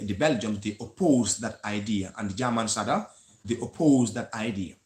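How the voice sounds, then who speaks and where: A man from the Gambia with a Gambian English accent, hosting a live history talk in an earnest lecturing way, with frequent pauses and restarts. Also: medium pitch. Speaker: host of a history channel. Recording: echoey room sound.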